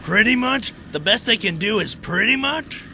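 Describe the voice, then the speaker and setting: A man's voice: high-pitched, expressive, moderate pace, sharp tone, slight background noise, full character voice. A man playing a stern, commanding American army captain in an amateur World War II radio drama, speaking in dry, clipped orders. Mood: dry, irritated.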